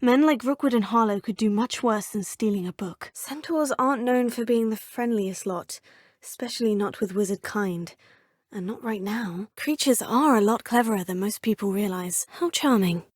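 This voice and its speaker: A woman's voice: medium pitch, normal speed, no room sound, clean studio sound, close to an everyday voice. A woman playing an earnest, determined young female wizard student in a video game, sometimes whispering to herself. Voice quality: husky, often whispering to herself. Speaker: player character.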